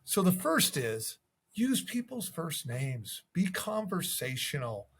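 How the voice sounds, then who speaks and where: A man's voice: on the high side, big pitch swings, slow pace, slightly rough. A man giving instructional sales tips as a coach, in a conversational manner. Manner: conversational, acts out sample calls. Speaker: real estate sales coach.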